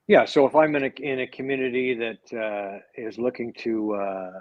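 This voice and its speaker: A man's voice: mid-range voice, moderate pace. A man hosting a casual interview, asking long, enthusiastic questions with many restarts. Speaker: host. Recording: dry, slightly dull recording.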